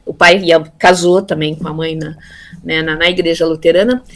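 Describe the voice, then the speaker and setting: A woman's voice: deep voice, fairly even intonation, moderate pace, a bit bright. Hesitant middle-aged woman recalling her past. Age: 50s. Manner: hesitant, many fillers and repeated words. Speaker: interviewee, theology graduate. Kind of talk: casual conversational interview.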